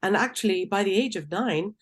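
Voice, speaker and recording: A woman's voice: medium pitch, breathy edge. Articulate woman. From Tunisia. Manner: articulate, measured, with occasional ums. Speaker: guest. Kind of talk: interview answers.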